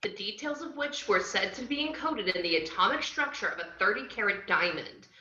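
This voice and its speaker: A woman's voice: mid-range voice, lively intonation, a little fast, pushed and bright. A woman playing a wry, cheeky antiheroine narrating a script at an amateur table read. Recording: roomy, slightly dull video-call audio.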